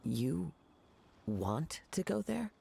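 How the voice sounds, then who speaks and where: An actor playing a calm, reflective young male wandering samurai in a video game, speaking in a measured way. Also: fairly high pitch, gravelly, husky, dry recording, full character voice.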